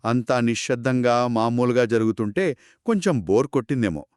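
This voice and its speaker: A man telling a children's story in a conversational, expressive and explanatory way, as one of two narrators. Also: medium pitch, normal speed, no room sound, clean studio sound. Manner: expressive, explanatory, acts out characters' quoted lines.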